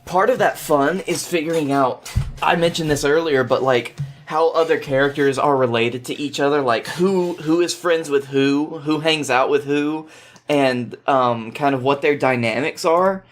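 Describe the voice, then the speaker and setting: A man's voice: fairly high pitch, unhurried, soft background music. A man as a podcast guest, chatting casually and explaining video games earnestly, thinking aloud as he goes.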